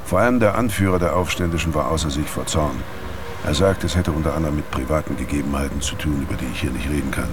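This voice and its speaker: An actor playing a terse investigator who dictates a secret report in a clipped, factual radio drama style. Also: male voice, a bass voice, quick pace, background noise. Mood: grave, secretive. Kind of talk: radio drama monologue, report read aloud.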